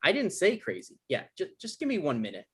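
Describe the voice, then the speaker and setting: A man's voice: high-pitched, wildly swooping pitch, fairly quick, fairly bright tone, slightly rough, exaggerated voice. A man playing an evasive, defensive guy in a table read, stammering and hedging in an awkward conversational way. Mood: flustered, apologetic. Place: online live stream table read.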